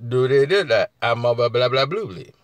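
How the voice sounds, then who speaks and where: Man, husky, casual live chat host, chuckles often, close dry mic. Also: mid-range voice, expressive, a little fast, no room sound. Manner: laughs often, talks back to chat.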